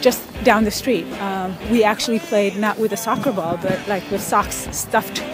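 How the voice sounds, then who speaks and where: Thoughtful woman from Ethiopia, music behind. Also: mid-range voice. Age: 30s. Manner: thoughtful, unhurried, frequent pauses and soft laughs. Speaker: interviewee, pickup soccer player. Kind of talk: casual interview storytelling.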